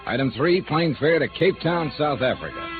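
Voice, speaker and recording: Casting: male actor as hard-boiled American investigator, wry and animated, old narrow-band radio sound with music behind. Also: a little high, moderate pace, close to an everyday voice. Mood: dry narrating. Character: wry, world-weary male freelance insurance investigator, narrating his case. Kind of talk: 1950s radio detective drama acting and first-person narration.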